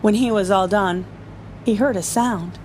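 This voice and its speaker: A woman's voice: mid-range voice, unhurried, a little mellow, slight background noise, poor audio. A woman reading a children's picture book aloud as a story-time reader, playful and often chuckling.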